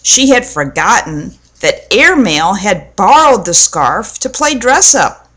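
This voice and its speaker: Slow-paced woman reading a children's story. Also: medium pitch, big pitch swings, fairly bright tone. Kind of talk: read-aloud storytelling to children.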